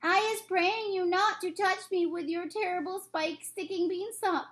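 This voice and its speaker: A woman's voice: very high voice, fairly even intonation, normal speed, a bit bright, voice pushed up. A woman reading a storybook, voicing a huge man-eating giant who bellows and pleads in terrified panic with broken grammar. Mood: desperate pleading. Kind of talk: storybook character voice in a read-aloud.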